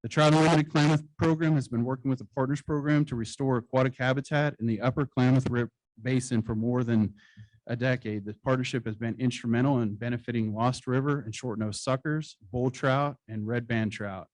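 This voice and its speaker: Male awards presenter at a podium, measured formal delivery, average pitch, dry close sound. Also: steady tone, a little mellow, no room sound, low-quality recording. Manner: formal, measured reading with occasional slips. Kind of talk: reading award citations at a conference. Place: conference hall stage.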